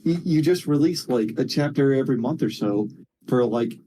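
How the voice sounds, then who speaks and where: Male co-host for a casual gaming chat. Mellow, relaxed tone, halting and slangy, swears freely. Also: mid-range voice. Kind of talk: casual chat about video games.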